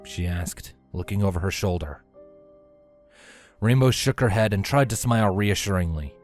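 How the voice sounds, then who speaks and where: A man's voice: fairly low pitch, moderate pace, a little mellow, soft background music. A man narrating a scripted story as its narrator, in a prose reading style.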